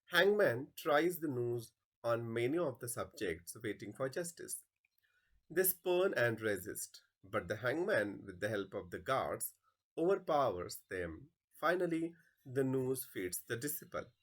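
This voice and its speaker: A man's voice: mid-range voice, sing-song, measured pace, fairly bright tone, husky. A man reading a play script aloud as a teacher, narrating the stage directions.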